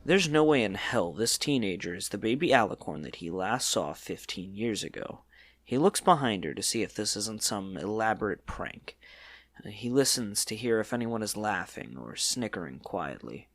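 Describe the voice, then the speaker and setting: A man reads scripted story narration. His voice sits on the low side and moves around a lot in pitch as he describes each scene. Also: very animated, moderate pace, a bit bright.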